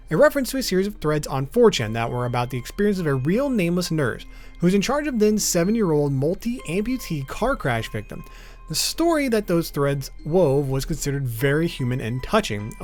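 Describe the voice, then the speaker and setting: A man narrating an explainer video in an informal, semi-scripted way. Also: a little high.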